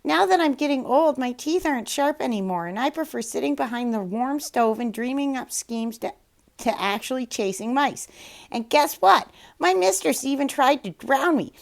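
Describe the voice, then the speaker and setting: A woman's voice: high-pitched, animated, moderate pace, vocal fry, voice pushed up. A woman voicing an aging female cat in a storybook, weary, wry and plaintive.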